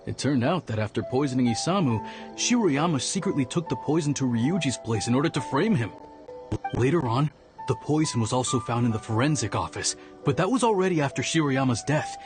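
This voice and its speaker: A man's voice: mid-range voice, lively intonation, brisk, close to an everyday voice. Skeptical male officer, acted by a man, video game, dry, matter-of-fact, sarcastic, background music.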